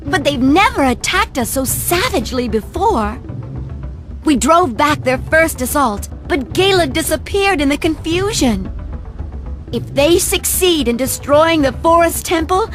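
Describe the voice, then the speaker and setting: A woman's voice: sits high, animated, measured pace, faint music behind. A woman playing a distressed, earnest forest maiden in a video game cutscene, urgent and dramatic. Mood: urgent worry.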